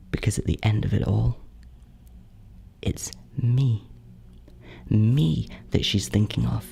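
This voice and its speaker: Bitter sibling ghost , played by an actor for horror audio drama: hushed, mellow and creaky, brooding, yearning. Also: medium pitch. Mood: bitter, possessive. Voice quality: breathy, often near whisper, creaky. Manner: slow, halting, brooding.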